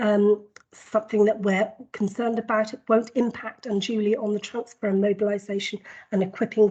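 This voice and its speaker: A woman with a cold and a husky voice, presenting a formal report at a board meeting in a measured way, with frequent ums and repeated words. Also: on the high side, darkish tone, poor audio. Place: board meeting, video call.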